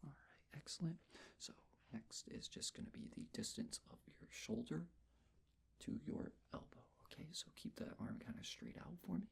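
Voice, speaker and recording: Casting: ASMR performer, very breathy whisper with a lilting delivery, gentle and reassuring personal-attention roleplay. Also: medium pitch, big pitch swings, normal speed. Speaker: ASMR performer playing a measurer taking face and body measurements.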